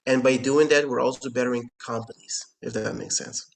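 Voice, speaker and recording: Curacao-guy, papiamento speaker, earnest, keeps restarting words, mid pitch, remote call. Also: steady tone, brisk. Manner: earnest, repeats words as he searches for phrasing. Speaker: company founder, interview guest. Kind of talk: explanatory business conversation.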